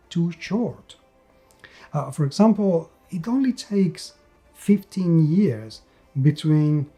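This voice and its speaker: A man's voice: a little high, mellow. A man giving an unscripted, enthusiastic video review of a tabletop game, repeating words for emphasis.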